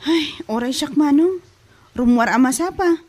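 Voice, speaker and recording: A woman's voice: high-pitched, expressive, a little slow, a little mellow. A woman with an Ilocano accent playing an anxious, reproachful young daughter in an audio drama. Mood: worried complaining.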